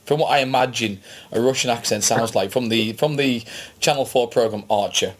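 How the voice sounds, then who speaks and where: A man's voice: mid-range voice, brisk. A man hosting a casual podcast interview, chatty and encouraging toward his guest. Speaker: podcast host.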